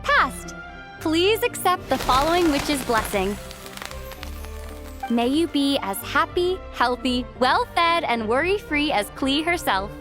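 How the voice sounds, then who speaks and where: A woman voicing a cute, ceremonious judge in a video game, announcing in a measured, formal way. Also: high-pitched, very animated, heavily acted. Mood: solemn, blessing. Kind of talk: video game cutscene acting. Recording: music behind the voice.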